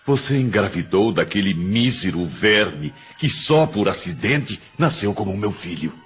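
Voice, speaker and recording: A man's voice: deep voice, fairly bright tone, heavily acted. An actor playing an obsessed, vengeful older man in an audio drama, with a bitter, menacing, dramatic delivery. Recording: muffled audio under music.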